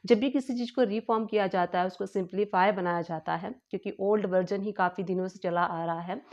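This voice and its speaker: A woman talks through tax changes like an informal lesson, her pitch sitting in a middle range. She explains step by step, hesitating often and circling back over her points. The recording sounds a little dull and lo-fi. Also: fairly even intonation, fairly quick, a little mellow. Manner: explanatory, repetitive, hesitant with frequent fillers. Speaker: channel host explaining tax news. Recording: slightly dull lo-fi home recording.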